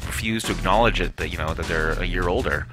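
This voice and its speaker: A man's voice: low voice, quick pace, fairly bright tone, faint music behind. A man in his thirties co-hosting a podcast with casual, crude, joking banter and swearing. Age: 30s. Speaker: podcast co-host.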